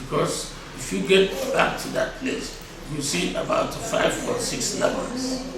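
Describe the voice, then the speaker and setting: An older man around seventy with a Nigerian Igbo accent and a high-pitched voice. He gives interview answers deliberately and emphatically, often repeating a phrase. The recording is very echoey, with a crowd behind him. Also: animated, creaky. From Nigeria (Igboland). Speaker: traditional title chief being interviewed. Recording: echoey, distant mic, crowd noise behind.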